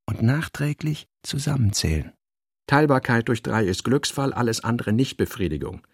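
A man's voice: medium pitch, expressive, moderate pace, slightly rough, dry recording, studio quality. A man giving a dramatic literary reading for a radio play, often in clipped, note-like phrases. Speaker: literary reader.

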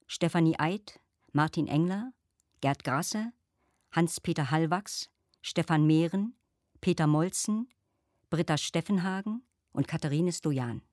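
A woman reads scripted credits as a radio announcer. Her voice is a little low, recorded dry with no room around it. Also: dry recording.

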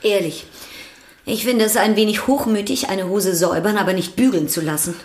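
An actress playing a stern, suspicious housekeeper in a radio drama, brisk, officious and disapproving. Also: female voice, mid-range voice, fairly even intonation, darkish tone, roomy sound, close to an everyday voice. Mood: sceptical, disapproving. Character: stern, suspicious, bossy female household gatekeeper.

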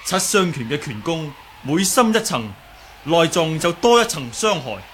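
Radio drama casting: humble young Cantonese martial artist and healer, lightly high-pitched, measured courtesy. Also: male voice, sing-song, fairly bright tone, a little hiss or hum. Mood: calm, diagnostic. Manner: polite, humble, explanatory. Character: calm, learned young martial artist with healing knowledge.

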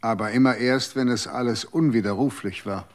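A man's voice: medium pitch, moderate pace, lightly acted. A man playing a cold, curt guardian. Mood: cold, weary. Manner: calm, curt. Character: cold, aloof former guardian of the dead. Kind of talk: radio drama acting.

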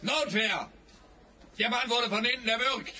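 An actor playing a blustering, domineering police interrogator in a radio crime comedy, barking or turning mock-gentle. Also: male voice, very high voice, a little hiss or hum, heavily acted. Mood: irritated, barking. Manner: barking, switching to slow mock-gentle sing-song.

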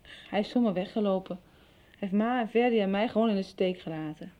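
Actress as earnest young woman, mellow voice. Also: mid-range voice, a little fast, close to an everyday voice. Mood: concerned, hurt. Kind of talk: 1990s Dutch radio soap acting.

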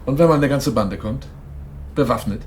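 Male actor, wary security man for radio drama, insistent and questioning, mid-pitched, noise behind him. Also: moderate pace, close to an everyday voice. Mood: concerned. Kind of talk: 1980s German radio drama dialogue.

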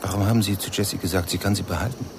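An actor playing a cynical yet gentle man with a gravelly voice and a blunt, probing manner in a German radio crime drama. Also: deep voice, steady tone, rapid-fire, a little hiss or hum.

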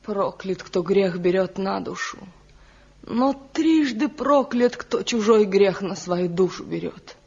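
An actress playing a proud, guilt-burdened village wife in a theatrical radio drama, solemn and lamenting. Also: female voice, medium pitch, lively intonation, slow pace, a little mellow, slightly rough, exaggerated voice. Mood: anguished. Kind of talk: theatrical Soviet radio play acting. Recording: muffled 1970 radio drama recording.

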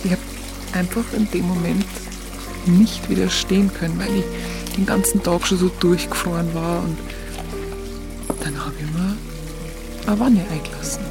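An actress in a radio drama playing a candid, naive young photographer with a Bavarian accent, recounting her memories in halting colloquial speech. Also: lowish voice, fairly even intonation, vocal fry. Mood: candid, wondering. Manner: halting, confiding. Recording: music behind the voice.

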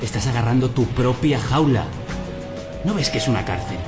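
A man plays a rebellious voice of suspicion in a radio drama. He is insistent and urgent, with lively swooping intonation, warning over a music bed. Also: medium pitch, moderate pace, close to an everyday voice. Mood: alarmed. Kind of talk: surreal radio drama acting.

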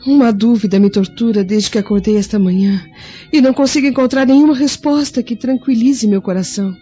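A woman playing a worried young Roman wife in a radio drama, speaking earnestly with a breathy voice. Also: on the high side, brisk, warm tone. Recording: dull lo-fi recording with music.

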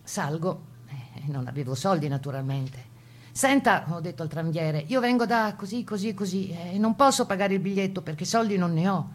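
A woman's voice: lowish voice, lively intonation, normal speed. An actress playing a proud woman partisan, recounting her wartime memories as emphatic theatrical testimony. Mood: insistent. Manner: emphatic storytelling, acting out quoted exchanges. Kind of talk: theatrical first-person testimony monologue.

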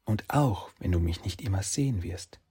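A man voicing a gentle, mystical female fox spirit, speaking softly and often in a whisper. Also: low-pitched, lively intonation, normal speed, breathy edge, full character voice. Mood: soothing, hushed. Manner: soft, hushed, often whispering.

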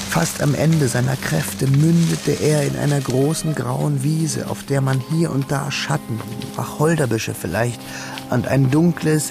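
A man reading scripted literary narration for a radio drama, with a gravelly voice. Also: medium pitch. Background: music.

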